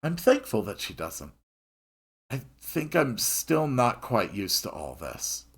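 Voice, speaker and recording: A man reading a story aloud in an expressive narrating style. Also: mid-range voice, takes it slowly, creaky.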